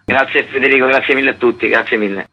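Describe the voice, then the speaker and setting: A man's voice: medium pitch, steady tone, fast, bright, forceful tone, muffled recording, soft background music. A man speaking conversationally as a theatre festival co-director in a radio interview.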